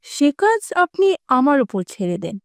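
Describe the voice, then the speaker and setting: A woman's voice: high voice, big pitch swings, moderate pace, dry recording, clean studio sound. Haughty, scheming cartoon queen. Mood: gloating, sly. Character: ambitious, wicked scheming queen of a fairy tale. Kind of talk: Bengali fairy-tale cartoon acting.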